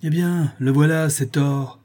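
A husky, mellow-toned storybook bailiff's man, acted out by a male narrator. He is coarse and insolent, quick to threaten and quick to whine when someone stronger pushes back. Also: a little high, fairly even intonation, pitched above the performer's own voice. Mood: whining, cowed. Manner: brutal, menacing, whining when overpowered.

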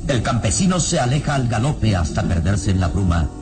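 A man's voice: lowish voice, very animated, brisk, over music. A man narrating a radio serial from a script in a theatrical, suspenseful style.

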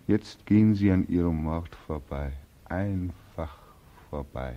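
Despairing young veteran, radio drama, low, mellow and slow, bitterly sardonic. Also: male voice, highs cut off. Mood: brooding, bitter. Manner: brooding, accusing, sardonic. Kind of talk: 1940s radio drama acting.

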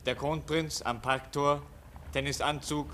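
A man's voice: fairly high pitch, fairly even intonation, measured pace, a bit bright. A man narrating short, clipped scene directions in an old radio play. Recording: noisy old 1940s radio recording.

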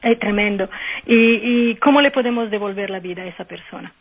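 A woman's voice: medium pitch, a little fast, slightly rough, highs cut off. An Italian woman, a nun speaking Spanish with an Italian accent, hesitant and full of fillers, searching for words on a phone interview. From Italy. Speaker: nun and guest.

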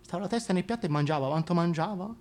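A man's voice: high voice, very fast, a little mellow. A man playing a gossipy, wistful former mistress of a king in a solo radio play, chatty and confiding. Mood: amused gossip. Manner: chatty, confiding, colloquial, hesitant. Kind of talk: solo radio theatre monologue.

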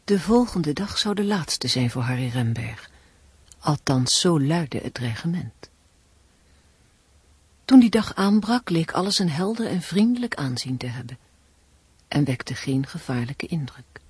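An actress in a radio play, playing a woman who questions and narrates a suspense story in a measured, hushed way. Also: low voice, very animated, husky, dry recording, full character voice. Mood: ominous, measured.

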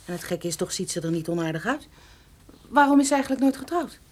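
A woman playing a sharp-tongued, sceptical partner of a detective in a Dutch radio play, emphatic and full of questions. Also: medium pitch, lively intonation, fast, slight background noise, close to an everyday voice. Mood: disdainful, curious.

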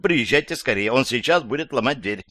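A man's voice: medium pitch, expressive, fairly quick, bright, forceful tone, dry recording, clean studio sound. A man voicing a frightened, frantic elderly woman in an audiobook, crying out in panic. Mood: panicked. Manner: panicky, breathless rattling outcries.

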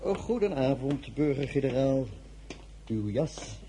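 A man playing a deferential household servant in a radio drama, formal and measured. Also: medium pitch, animated, background noise, close to an everyday voice.

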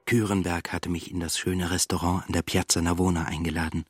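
An introspective composer in a radio play. His voice is low and husky, held very even and close, as he reflects with quiet reserve. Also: fairly quick, dry recording, soft background music. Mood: wistful, reserved. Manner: restrained, reflective. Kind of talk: first-person radio drama monologue.

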